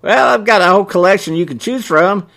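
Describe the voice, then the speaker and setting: Man putting on a high-pitched folksy storekeeper. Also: fairly quick, vocal fry, fairly bright tone. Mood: helpful. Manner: beaming, folksy sales patter. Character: folksy small-town male storekeeper, outwardly cheery and obliging.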